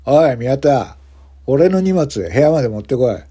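A man voicing a menacing, foul-mouthed gangster hotel guest, domineering and curt, with rough slangy speech. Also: mid-range voice, expressive, moderate pace. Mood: smug, bossy. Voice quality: loud, rough shouting when angered.

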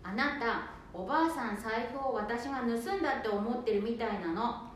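A woman's voice: fairly high pitch, normal speed, lightly acted. A woman playing a nagging, exasperated middle-aged wife in an amateur radio drama, complaining and scolding. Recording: very echoey room, faint noise.

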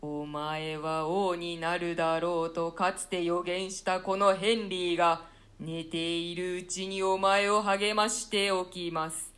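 A woman voicing the ghost of an old murdered king in a radio play reading, speaking slowly and solemnly. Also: on the low side, level tone, a bit bright, soft background music. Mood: blessing. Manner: slow, solemn delivery.

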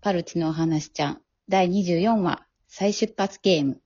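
A woman's voice: on the low side, normal speed, a little mellow, husky. A woman casually introducing things as a member and writer of an amateur drama show. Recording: dull remote recording from home.

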